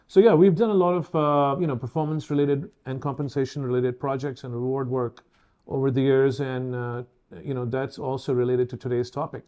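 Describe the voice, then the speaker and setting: A man in his fifties hosting a semi-formal webinar, speaking in halting, formally worded phrases. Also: medium pitch, fairly even intonation, mellow, highs cut off. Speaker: webinar host.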